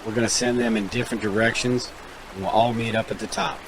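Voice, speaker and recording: Man playing a gravelly, decisive survivor organiser. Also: lowish voice, steady tone, fast, lightly acted. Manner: businesslike, directive. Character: practical male survivor camp organiser, decisive. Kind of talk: audio drama acting. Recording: clearly noisy background.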